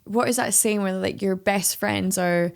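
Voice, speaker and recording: A woman interviewing a friend in a casual podcast chat, enthusiastic and quick to affirm what the other person says. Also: mid-range voice, fairly even intonation, vocal fry, smooth.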